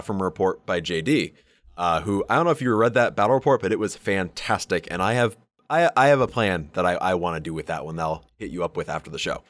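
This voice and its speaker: Man, low voice, dry close sound, casual podcast banter, joking, sweary. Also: no echo. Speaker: podcast co-host. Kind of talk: casual banter about tabletop wargaming.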